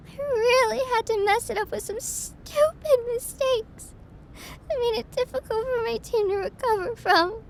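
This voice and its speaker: A young woman acting a distraught, self-deprecating best friend confiding her troubles in an intimate audio roleplay, tearful and halting. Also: very high-pitched, a little hiss or hum. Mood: distraught, bitter.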